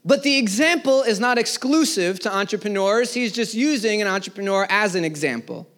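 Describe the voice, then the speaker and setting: A man in his thirties, a pastor, preaching a sermon to a live congregation, rising in emphasis and then dropping to quiet words at the ends of phrases. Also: high-pitched, lively intonation, fairly quick. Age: 30s. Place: church service before a live congregation.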